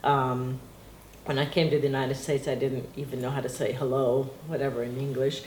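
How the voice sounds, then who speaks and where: A woman's voice: a bass voice, little pitch movement, brisk, a little mellow. A middle-aged woman whose first language is Portuguese reminisces in a loose, rambling way, often breaking into laughter. The room sounds echoey and roomy. A faint hiss sits behind her voice. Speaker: interviewee. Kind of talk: casual reminiscing interview.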